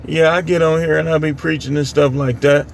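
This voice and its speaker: A man's voice: a little high, steady tone, brisk, gravelly, a little hiss or hum. An American man preaching informally on scripture in a plain-spoken, admonishing way, repeating phrases for emphasis. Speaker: street preacher.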